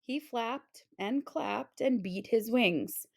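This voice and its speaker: A woman narrating a children's storybook aloud in an expressive, deliberate storytelling style. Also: on the high side, vocal fry.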